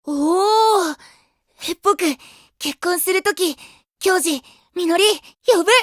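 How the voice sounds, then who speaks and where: Very high, childlike boy idol. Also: no room sound, clean studio sound. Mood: delighted. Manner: childlike halting broken phrases. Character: innocent, cheerful teenage boy idol, affectionate foreigner. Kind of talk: anime game voice acting.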